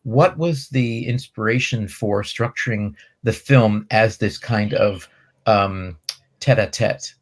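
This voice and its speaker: An articulate man with a fairly low voice moderates a festival Q&A. He phrases his questions in a measured, effusive way, as a host would. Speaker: festival host interviewing a film director. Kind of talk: moderated Q&A interview.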